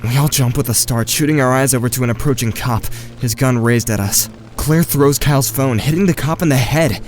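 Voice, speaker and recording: A man playing a watchful, tentative teenage boy, giving measured first-person narration in an audio drama. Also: medium pitch, over music, natural voice. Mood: tense.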